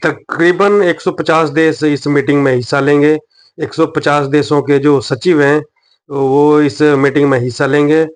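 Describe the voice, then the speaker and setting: A man's voice: a little high, fairly even intonation, measured pace. A man with an Indian accent presenting a news reaction video and addressing viewers directly as host. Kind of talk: presenting to camera audience, addressing viewers as friends.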